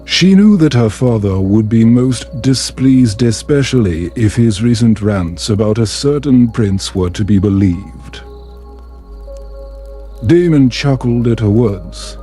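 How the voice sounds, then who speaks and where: A man narrates a bedtime story in a slow, measured way. His voice is mellow and soothing at a middle pitch. Soft music plays behind him. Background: background music.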